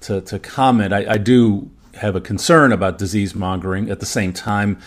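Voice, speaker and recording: A man with a fairly low voice, a native German speaker, speaks as a medical expert on a podcast. He explains study findings informally, in a measured way, qualifying his points as he goes. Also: steady tone. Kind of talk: informal evidence review in conversation.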